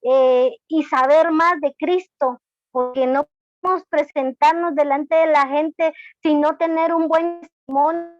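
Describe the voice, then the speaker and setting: A woman's voice: high voice, steady tone, unhurried, no room sound. A woman from Guatemala with a Guatemalan accent, a church deacon giving a hesitant testimony with many fillers on an online call. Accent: Guatemalan Spanish. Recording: dull lo-fi call audio.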